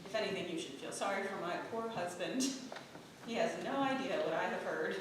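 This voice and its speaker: A woman playing a frail, quiet, polite Puritan wife who is secretly shrewd, in a staged reading of a period play. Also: fairly low pitch, fairly quick, lightly acted. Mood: wry, knowing. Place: theatre stage, picked up from far off. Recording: very roomy, distant, noisy theatre recording.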